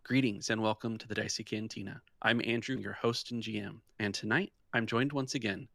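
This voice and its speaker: A man's voice: fairly low pitch, steady tone, a little fast, vocal fry, fairly bright tone, no room sound, clean studio sound. A man hosting a tabletop roleplaying game as game master, narrating scenes in a descriptive, conversational storytelling way.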